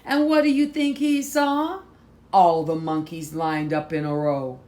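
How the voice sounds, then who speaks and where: A woman reading a picture book aloud to children, phrasing deliberately and stressing words for drama. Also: low-pitched, mellow. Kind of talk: slow, expressive picture-book read-aloud.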